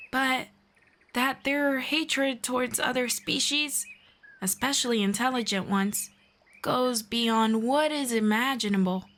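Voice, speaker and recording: A woman playing a friendly, calm forest elf in an ASMR fantasy roleplay, speaking in a measured, playful, gently sarcastic way. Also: a little high. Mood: teasing, reflective. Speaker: roleplay voice performer.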